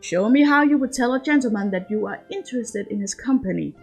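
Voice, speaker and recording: A woman's voice: fairly high pitch, animated, brisk, darkish tone, breathy edge, faint music behind. A woman playing a middle-aged countess mother in a storybook reading, genteel and fond, with a drily teasing manner. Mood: instructive.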